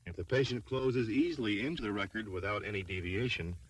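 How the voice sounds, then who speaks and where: A man reading scripted training film narration in a formal, measured, instructional manner. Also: on the low side, breathy edge.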